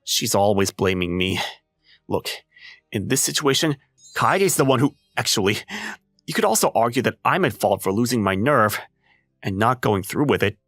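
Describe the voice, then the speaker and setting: A man voicing an unbothered, sardonic high school underdog in an anime-style comic dub, with a dry, mocking delivery. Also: expressive, moderate pace, a bit bright, no echo, faint music behind. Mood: resigned.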